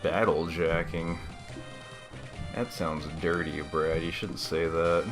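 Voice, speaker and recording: Low-voiced man rambling through game commentary. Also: little pitch movement, takes it slowly, over music. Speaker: let's play host.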